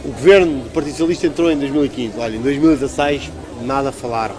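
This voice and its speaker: A man's voice: medium pitch, fast, a crowd in the background. A man with a European Portuguese accent giving a conversational political interview as a local politician, arguing emphatically and repeating phrases for stress.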